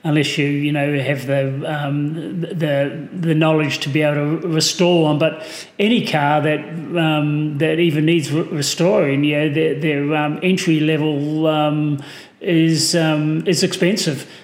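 NZ-accented man, slightly high voice, halting with fillers, echoey room. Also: little pitch movement. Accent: New Zealand. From New Zealand. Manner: halting, many ums and restarts. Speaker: podcast guest, car owner. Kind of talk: casual podcast chat.

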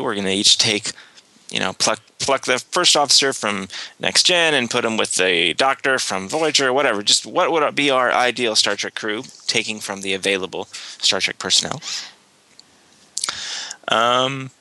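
A man's voice: on the low side, sharp tone, no echo, low-quality recording. A man hosting a podcast, giving casual announcements with lots of fillers and restarts, a bit under the weather with a cold. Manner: rambling, many fillers and restarts. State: slight cold. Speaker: podcast host.

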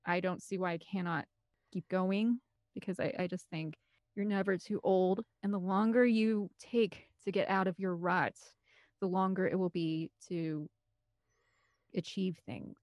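A woman's voice: on the low side, fairly even intonation, unhurried, darkish tone, no echo. A woman in her thirties with a Midwestern American accent, chatting casually as an interview guest and telling personal stories in a rambling, colloquial way. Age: 30s. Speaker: podcast guest, film composer and director.

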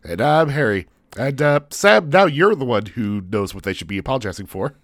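A man's voice: mid-range voice, animated, a little fast, no echo. A man co-hosts a podcast, his nose stuffed up from the flu. He rambles and jokes, cutting himself off mid-sentence. The sound is close and dry, with no room around it. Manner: rambling, self-interrupting, jokey. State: congested from flu. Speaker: podcast co-host. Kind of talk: casual chat.

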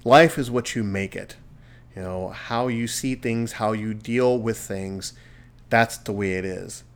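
A man's voice: on the low side, unhurried. A man hosting his own solo podcast from a studio, talking in an earnest, encouraging way with a radio-DJ delivery. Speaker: podcast host. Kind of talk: casual solo podcast monologue. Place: home studio.

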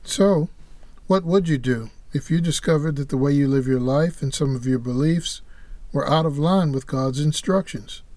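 A man's voice: on the high side, normal speed, dark tone, dry recording. A man co-hosting a religious podcast, reading an earnest, preacherly script. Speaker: podcast co-host.

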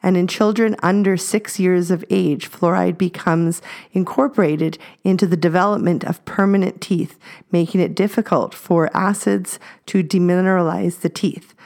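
A woman in her forties co-hosts a parenting podcast, her voice sitting on the lower side. She reads a definition aloud steadily and carefully. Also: vocal fry, a little mellow. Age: 40s. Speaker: podcast co-host.

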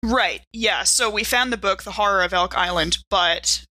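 A woman's voice: medium pitch, moderate pace, pushed and bright, no room sound, poor audio. A woman chatting casually as a player in a tabletop game session.

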